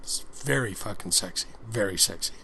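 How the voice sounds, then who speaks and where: A man's voice: fairly low pitch, lively intonation, a little fast, vocal fry, pushed and bright, a little hiss or hum. A man doing a casual ASMR-style book reading, breaking off into crude, slangy, folksy asides. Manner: crude, slangy, folksy commentary between passages. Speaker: host reading an erotic book aloud.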